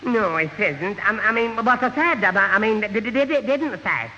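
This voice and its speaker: A high, piercing voice as a muddled young man in a radio comedy. He stammers, repeats himself and flusters easily, on a muffled old broadcast. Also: lively intonation, moderate pace, pushed and bright, in a large hall, exaggerated voice. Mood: flustered, confused. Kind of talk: 1970 radio sitcom acting.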